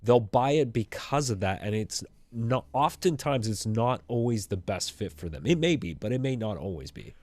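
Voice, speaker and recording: A man co-hosting a podcast, talking in a measured, thoughtful way about microphones. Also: medium pitch, expressive, a little mellow, no room sound. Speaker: podcast co-host. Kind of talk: casual technical podcast chat.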